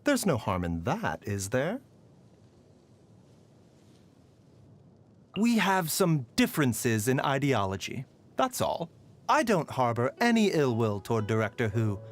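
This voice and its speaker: A man voices a mannerly pharmacist from a video game, gently wry and a little weary. His melody moves expressively through polite, measured phrases. Music plays behind the voice. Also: mid-range voice, sing-song, natural voice. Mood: calm, thoughtful. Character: soft-spoken, courteous male pharmacist, gently wry and weary. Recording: voice over background music.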